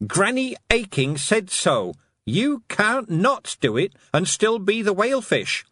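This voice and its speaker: Male narrator doing a bossy, stubborn young girl, high and emphatic. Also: sing-song, normal speed, fairly bright tone, slightly rough, dry recording, clean studio sound, exaggerated voice. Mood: insistent. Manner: insistent, firm and lecturing.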